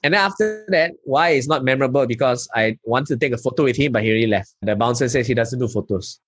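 A man from Malaysia with a Malaysian accent, a stand-up comedian telling lively anecdotes in an interview. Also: medium pitch, fast talker, husky, dry recording, soft background music. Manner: animated anecdotes, local particle "lah". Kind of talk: casual interview storytelling.

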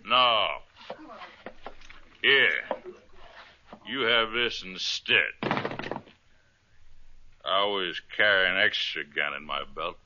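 Sharp, goading cowboy bully. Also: male voice, low-pitched, slow pace, pauses often, a little hiss or hum, full character voice. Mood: aggressive. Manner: taunting, needling, drawling insistence. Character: belligerent gunman bully picking a saloon fight. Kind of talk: 1950s radio western acting. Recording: dull old radio recording.

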